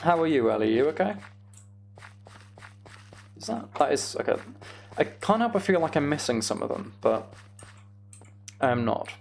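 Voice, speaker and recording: A man's voice: a little high, big pitch swings, normal speed, long pauses. A man hosting a live gaming stream, chatting casually with viewers and muttering each step of his task to himself. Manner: muttering step-by-step self-narration of tasks. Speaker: livestream host. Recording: clear background noise, keyboard clicks. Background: computer keyboard typing and clicking.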